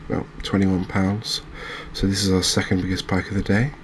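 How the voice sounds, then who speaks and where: A man with an English accent giving soft-spoken ASMR game commentary in a hushed, unhurried, hesitant manner. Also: low voice, flat delivery, slight background noise. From England. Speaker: gaming video host.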